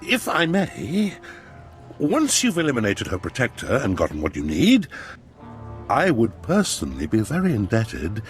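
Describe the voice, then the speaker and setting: A haughty game-villain duke with a lively, swooping delivery. His speech is formal and pompous, that of a slippery, scheming courtier. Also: medium pitch, measured pace, faint music behind. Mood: oily deference. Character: haughty, scheming male noble Sith duke. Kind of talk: video game cutscene acting.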